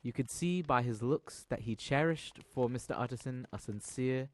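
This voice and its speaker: A man's voice: mid-range voice, moderate pace. A man reading scripted literary narration as the narrator of an audio drama.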